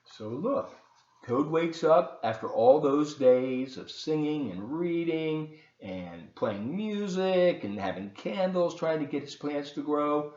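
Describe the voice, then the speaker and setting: A man tells a story to children in an expressive, encouraging way, slipping into chatty asides. His pace is unhurried and his pitch swings widely. The room adds noticeable echo. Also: highs cut off. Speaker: volunteer children's story reader.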